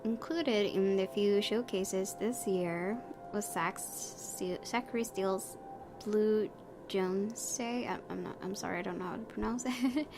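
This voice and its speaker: A woman streamer reads a visual novel's story aloud. Her pitch is average and she sometimes trips over words, slipping into casual commentary. Clear background noise sits behind her voice. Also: unhurried. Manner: stumbles over words while reading. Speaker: let's play streamer. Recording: clearly noisy home recording.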